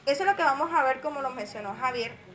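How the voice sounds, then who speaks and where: Female academic speaker, steady explanatory lecture, somewhat high voice. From Colombia. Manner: measured, explanatory. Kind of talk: lecture at a forum.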